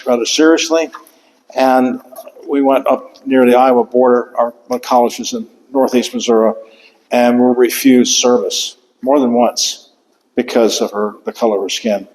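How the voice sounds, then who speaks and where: An older man giving an author talk in a lecture room, speaking haltingly with many fillers and restarts. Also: medium pitch, darkish tone. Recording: long hall reverb.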